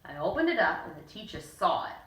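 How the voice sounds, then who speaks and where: Lively bright woman reading a story. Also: quick pace, slight background noise. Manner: lively, jumps way up in pitch for character lines. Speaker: co-host and storyteller. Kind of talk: reading a children's bedtime story aloud. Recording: echoey room, mic at a distance.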